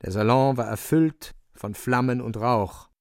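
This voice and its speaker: A man's voice: medium pitch, measured pace, loud music behind the voice, natural voice. A man narrating a literary radio play as a wry, vivid storyteller with dramatic delivery.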